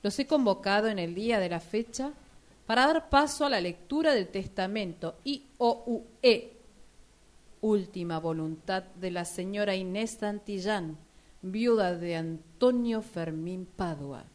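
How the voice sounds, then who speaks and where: A woman's voice: mid-range voice, unhurried, lightly acted. Formal, officious notary, played by a woman. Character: formal, officious notary addressing heirs at a will reading. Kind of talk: radio drama acting.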